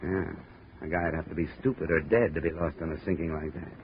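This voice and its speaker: An American actor playing a tough, wisecracking insurance investigator in a 1950s radio drama, clipped and world-weary. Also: male voice, a bass voice, fairly even intonation, a little fast. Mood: dry, brisk. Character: hard-boiled, wisecracking male insurance investigator. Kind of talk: 1950s radio detective drama acting and narration. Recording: noisy, muffled 1950s radio recording.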